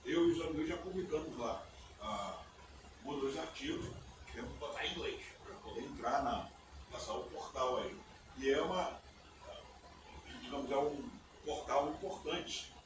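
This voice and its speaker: An older man, a native English speaker, giving a relaxed conference lecture in a university auditorium, often checking in with his listeners. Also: medium pitch, moderate pace. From United States. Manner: conversational, often ends phrases with "né?". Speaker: guest lecturer. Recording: echoey auditorium, distant mic, background noise.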